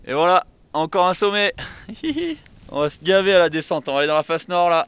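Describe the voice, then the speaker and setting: A man from France in his thirties giving excited, playful commentary while skiing down a snowy mountain, filmed on an action camera. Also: on the high side, a little fast, smooth, dry recording. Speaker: freeride skier filming himself. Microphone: helmet or pole camera. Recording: loud music over outdoor camera audio.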